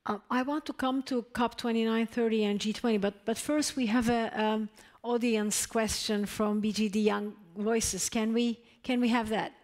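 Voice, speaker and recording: A woman's voice: fairly high pitch, fairly even intonation, normal speed, darkish tone. A woman moderating a panel in a conversational, engaged way, building points through questions.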